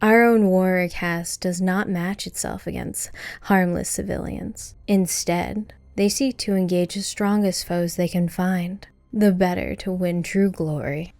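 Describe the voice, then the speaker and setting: A cunning young female alien diplomat, put on by a male narrator pleads in a refined, honeyed tone. The voice sits far above his own and comes across soft and ingratiating. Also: sits high, fairly even intonation, a little slow, creaky, no echo, voice pushed up. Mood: pleading.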